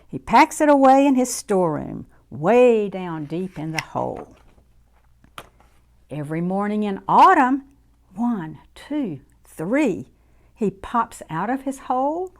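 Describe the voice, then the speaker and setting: A woman reading a picture book aloud to young children in an expressive, deliberate, sing-song way. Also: mid-range voice. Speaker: children's story reader.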